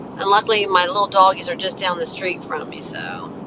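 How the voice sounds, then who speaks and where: A hoarse middle-aged woman chatting casually with her viewers during a live stream while driving. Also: medium pitch, fairly quick. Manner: chatty, coughing between remarks. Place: inside a moving car. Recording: road noise from a moving car.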